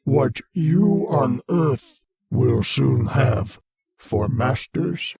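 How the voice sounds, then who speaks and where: An actor playing an ancient, arrogant alien mind in an old-time radio horror play, rasping and declaiming with pompous contempt. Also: male voice, mid-range voice, animated, very slow, mellow, no room sound, full character voice. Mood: gloating contempt. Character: ancient arrogant alien mind, a menacing meteor-borne invader.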